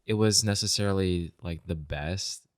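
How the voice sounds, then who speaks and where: A man's voice: low voice, moderate pace, no room sound, clean studio sound. A man on a podcast panel giving his opinions in casual conversation. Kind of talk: casual film discussion chat.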